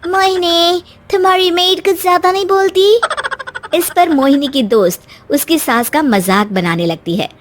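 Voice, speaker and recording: A woman's voice: high voice, a little hiss or hum. A woman narrator reading a scripted moral story as a voice-over. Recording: voice-over with music. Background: music.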